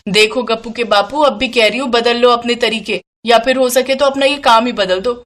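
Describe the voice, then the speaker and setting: A woman voicing a worried, blunt village wife in an animated story, sharp and scolding. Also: on the high side, normal speed, natural voice.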